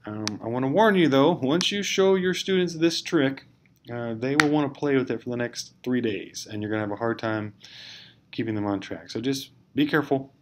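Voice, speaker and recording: A man's voice: medium pitch, moderate pace. A man narrating a casual instructional screencast, explaining step by step in an informal, hesitant way. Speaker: teacher giving software tutorial. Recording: clear background noise.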